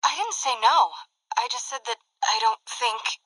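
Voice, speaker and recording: A nervous female stage singer from a video game. She speaks in a husky, breathy, fairly high voice with halting hesitation. The voice sits slightly away from the microphone in a dry space. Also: animated, fairly bright tone, dry recording, recorded from a distance, poor audio. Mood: nervous, pleading. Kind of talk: video game character dialogue.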